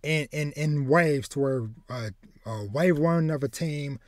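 A man in his thirties hosting a podcast in casual chat, animated and rambling, repeating words as he searches for phrasing. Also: on the high side, measured pace, no room sound, clean studio sound. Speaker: podcast host. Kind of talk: casual chat, retelling a film plot. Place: home room with bookshelves, indoors.

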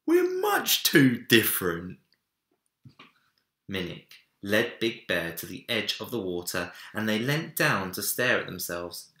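Young guy narrating kids story, deliberate n dramatic, bright voice, echoey room. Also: lowish voice. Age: young adult. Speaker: story reader. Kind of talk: expressive children's storybook narration. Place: small home room, indoors. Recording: roomy echo.

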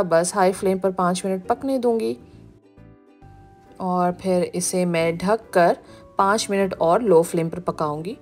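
A woman, narrating a cooking video as the channel's host, explaining each step in a relaxed way. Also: fairly low pitch. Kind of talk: recipe voice-over narration. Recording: background music.

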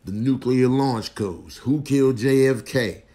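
A man's voice: mid-range voice, measured pace, dark tone. An American man giving comedic commentary on clips, exaggerating with slangy, incredulous delivery.

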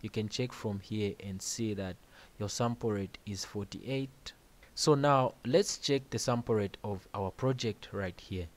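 A man hosting a music-production tutorial, explaining software steps plainly over a screen recording with casual asides. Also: lowish voice, measured pace.

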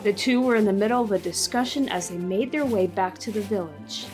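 A woman narrating a storybook audio drama in a gentle, even way. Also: mid-range voice, a little fast, faint music behind. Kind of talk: scripted narration.

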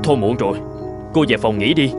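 A man's voice: mid-range voice, expressive, brisk, close to an everyday voice. Man acting young cartoon traveller, music behind. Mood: polite, reassuring. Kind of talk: animated cartoon dialogue.

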